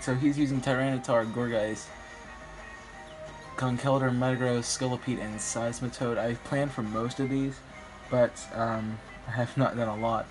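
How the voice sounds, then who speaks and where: A slightly raspy young man, a bit sick, commentating his online game battle in a rambling, hesitant way with many ums. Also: medium pitch, low-quality recording. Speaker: gaming YouTuber. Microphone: phone filming a screen. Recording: music playing behind.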